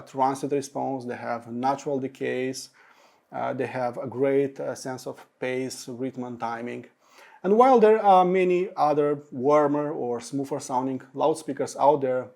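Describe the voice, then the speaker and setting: A man's voice: medium pitch, gravelly, dark tone. A man giving a product review monologue as an audio reviewer, speaking in emphatic but hesitant phrases.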